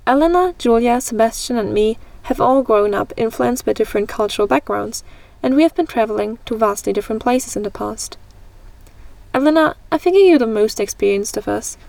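A woman's voice: on the high side, lively intonation, a little fast, creaky, darkish tone, no room sound. A young woman from Germany hosting a scripted conversation, reading steadily and carefully. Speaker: host. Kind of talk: read-aloud scripted conversation.

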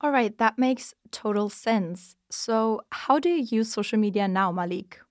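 A woman's voice: medium pitch, measured pace, no echo, clean studio sound. A nineteen-year-old woman hosting a podcast, reading a scripted intro in a polished, upbeat way and moderating. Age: teens. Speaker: podcast host.

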